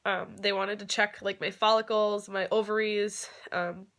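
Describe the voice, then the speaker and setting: A woman's voice: medium pitch, flat delivery, measured pace, a bit bright. A young woman with a cold, sounding stuffed up, chatting casually to her vlog viewers with lots of fillers. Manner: chatty, many fillers, occasional laughs. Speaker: vlogger.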